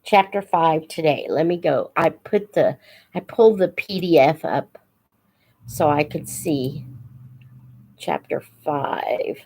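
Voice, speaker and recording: A woman hosting a casual live chat, unhurried and thinking aloud as she talks to her viewers. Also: sits low, mellow. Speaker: host of a live book Q&A, teacher-like reader.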